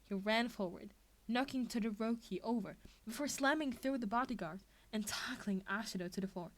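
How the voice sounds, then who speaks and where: High-voiced woman narrating fan fiction. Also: normal speed. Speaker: podfic reader.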